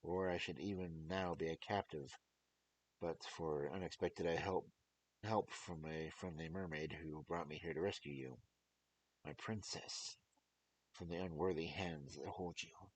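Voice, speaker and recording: A man's voice: sits low, fairly even intonation, unhurried, slight background noise, pitched below the performer's own voice, rough recording. A man reading as an earnest, pleading king. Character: devoted young king, earnest and desperate lover.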